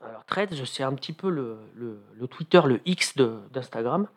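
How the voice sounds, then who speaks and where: A man's voice: medium pitch, lively intonation, moderate pace. A man who writes comedy columns for the radio, chatting in an interview with plenty of hesitations and fillers. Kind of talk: casual radio interview chat.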